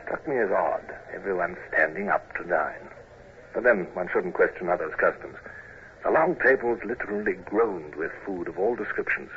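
An actor playing a proud, awkward British naval commodore in a radio drama, speaking formally with clipped, sometimes stammering delivery. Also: male voice, low-pitched, moderate pace. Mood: bemused. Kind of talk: 1950s radio drama acting and narration. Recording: muffled, noisy 1950s radio recording.

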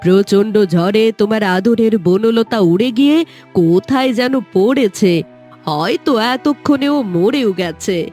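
A woman's voice: medium pitch, a little slow, mellow, faint music behind, lightly acted. A woman playing a callous, mocking middle-aged daughter-in-law, the villain of a fairytale cartoon. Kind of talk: Bengali fairytale cartoon acting.

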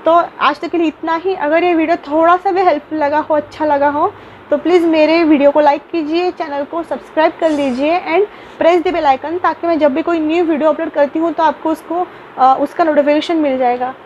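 Young woman vlogger, high-pitched, chatty with fillers and restarts, close phone mic in a small room. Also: steady tone, moderate pace, a little mellow, lo-fi sound, slight background noise. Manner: chatty, many fillers and restarts, mixes English words into Hindi. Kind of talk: casual unboxing vlog.

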